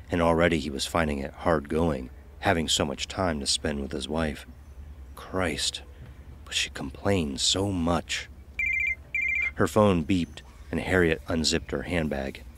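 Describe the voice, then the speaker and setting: A man narrating a scripted dark audio story. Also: extremely low pitch.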